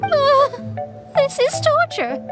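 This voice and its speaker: A woman's voice: extremely high pitch, wildly swooping pitch, takes it slowly, over loud music, heavily acted. A woman playing a proud, dramatic young astrologer in a video game. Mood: anguished. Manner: haughty, theatrical. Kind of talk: video game character acting.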